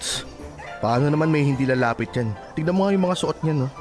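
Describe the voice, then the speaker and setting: An actor with a Filipino accent playing a strait-laced, grumpy young office worker in a radio drama, curt and scolding. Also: male voice, on the high side, expressive, quick pace, darkish tone, close to an everyday voice. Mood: annoyed, dismissive. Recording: music bed underneath.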